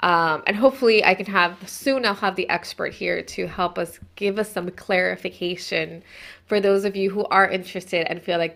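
A woman's voice: fairly low pitch, vocal fry, a bit bright. A woman in her thirties, a host talking casually to her viewers about spirituality, chatty and meandering, often breaking off mid-sentence to restart. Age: 30s. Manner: chatty, meandering, self-interrupting. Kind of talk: casual spiritual talk to camera.

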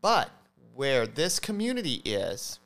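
A man co-hosting a podcast, explaining things casually in a halting way, often stammering and correcting himself. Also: medium pitch, wildly swooping pitch, fairly bright tone. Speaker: podcast host.

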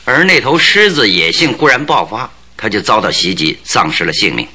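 A shrewd radio-drama detective with a very sharp, forceful voice. He probes and needles with full confidence, and his low pitch swings in lively, theatrical arcs. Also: normal speed, slightly rough, full character voice. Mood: probing, assured. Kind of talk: Chinese radio drama acting.

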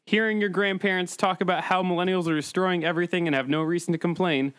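A man hosts a comedic panel podcast in a casual, chatty style. His voice is a bit on the high side, captured close and dry with no room sound. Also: steady tone, fast talker, a bit bright, dry recording. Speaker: podcast host.

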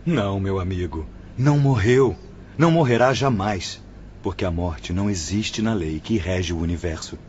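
An actor playing a compassionate, assured male spirit surgeon in a radio drama, solemn and deliberate, with a Brazilian accent. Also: low voice, a little mellow, a little hiss or hum, natural voice. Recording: dull lo-fi radio drama, music behind.

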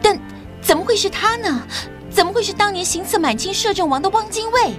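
An actress playing an earnest, polite young schoolteacher in an audio drama, modest and respectful in manner. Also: female voice, very high-pitched, expressive, a little fast, over music. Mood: troubled realization.

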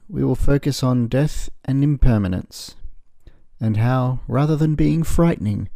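A man narrating a guided meditation as the guide, in a gentle, soothing, measured way with long pauses. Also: mid-range voice, vocal fry, dry recording, studio quality.

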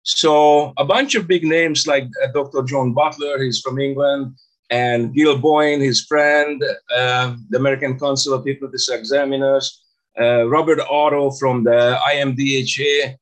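Older hungarian guy, accented, mid pitch, telling his story in an interview, kinda halting. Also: fairly even intonation, poor audio. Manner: halting, non-native phrasing. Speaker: interview guest, stage hypnotist. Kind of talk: casual storytelling about own career.

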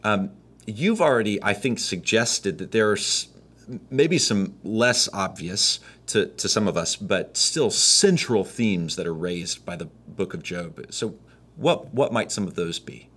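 A man's voice: fairly low pitch, expressive, measured pace, a bit bright, breathy edge. A man hosting an interview, asking long, searching questions with frequent restarts. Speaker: podcast host. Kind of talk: conversational podcast interview.

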